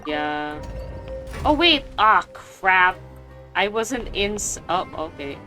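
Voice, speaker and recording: A woman's voice: mid-range voice, expressive, slow pace, sharp tone. A woman streaming a game, chatting casually with slangy reactions and reading dialogue aloud. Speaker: gaming streamer. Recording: game music behind the voice.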